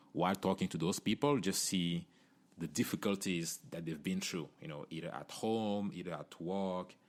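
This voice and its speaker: A middle-aged man from Cameroon, hosting a solo podcast in an earnest, conversational way, often tagging "you know" and "right?". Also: deep voice. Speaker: podcast host.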